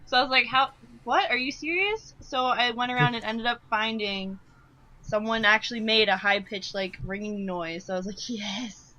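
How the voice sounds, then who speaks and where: A woman's voice: fairly high pitch, steady tone, moderate pace, sharp tone, highs cut off, a little hiss or hum. A woman in casual group commentary, acting out little quoted reactions as she talks. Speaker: fandub crew member, commentator.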